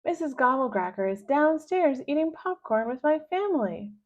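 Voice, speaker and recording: A woman voicing a headstrong young girl who talks loudly and dramatically, in a dull lo-fi recording. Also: high voice, big pitch swings, moderate pace, voice pushed up. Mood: dramatic. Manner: loud, dramatic.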